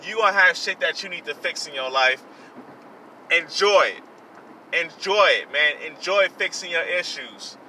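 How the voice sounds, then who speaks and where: A man's voice: fairly high pitch, animated, moderate pace, sharp tone, far from the mic, slight background noise, poor audio. A man in his late twenties hosting a podcast, talking in an earnest, repetitive, self-reflective way with many fillers. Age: 20s. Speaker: podcast host. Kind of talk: personal motivational monologue.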